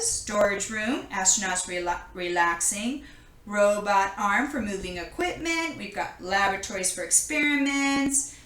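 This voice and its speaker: A woman reading a children's picture book aloud in an expressive manner, recorded in an echoing room. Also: mid-range voice, noisy background. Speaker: storybook reader. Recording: roomy, echoing indoor sound.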